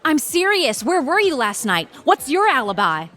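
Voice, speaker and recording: Blunt tired lawyer lady, high voice, very up and down, audio drama. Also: big pitch swings, normal speed, sharp tone, full character voice. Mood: accusing. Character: blunt, weary, accusing female law firm associate.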